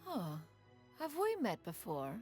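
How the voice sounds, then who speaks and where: A woman's voice: medium pitch, huge pitch swings, slow, a little mellow, faint music behind. A woman voicing a proud, refined immortal sage in an anime game, speaking in a formal, lofty, archaic manner. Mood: composed. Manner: formal, lofty, archaic, calls herself "one". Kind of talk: anime video game voice acting.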